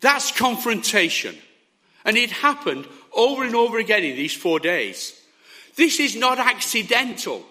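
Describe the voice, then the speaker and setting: A man preaching a sermon with a hoarse, sore throat, emphatic and often checking that his listeners are following. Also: high-pitched, very animated, unhurried, sharp tone.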